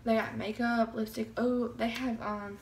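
A woman's voice: fairly high pitch, little pitch movement, unhurried. A young woman, chatting nervously in a casual review vlog, her voice slightly scratchy from bronchitis, recorded close on a phone camera in a small bedroom. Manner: chatty, nervous, keeps saying y'all. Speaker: vlogger.

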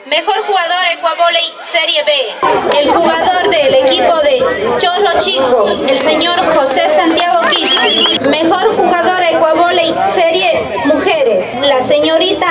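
Very high-pitched Ecuadorian woman announcing awards. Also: unhurried. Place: covered outdoor stage in a public square. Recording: echoey, distant PA with music and crowd. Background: loud music and crowd.

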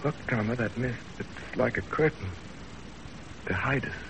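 A man's voice: medium pitch, unhurried, creaky, background noise. An actor playing an earnest young man in love, in a 1930s radio drama. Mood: pleading, urgent. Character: earnest lovesick young man narrating his romance. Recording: old 1930s radio recording with music.

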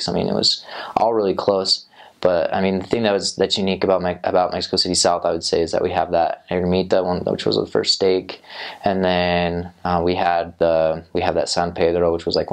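A man's voice: low voice, creaky. A young man on a lapel mic in a small studio, answering interview questions about his time as a missionary, rambling with many fillers and restarts. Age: young adult. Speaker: returned missionary. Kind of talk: interview answers, casual recollection. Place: small indoor studio set.

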